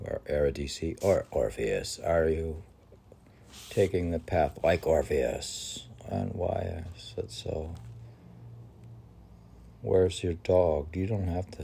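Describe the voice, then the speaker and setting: A man's voice: sits low, creaky, dark tone, slight background noise. A man reading poetry aloud and drifting into casual, musing asides in a conversational way. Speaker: poetry reader.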